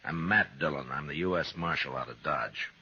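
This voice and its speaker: An actor playing a steady, authoritative American frontier marshal in 1950s radio western style, measured and terse. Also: male voice, very deep voice, breathy edge, full character voice. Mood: businesslike. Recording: dull, noisy 1950s radio recording.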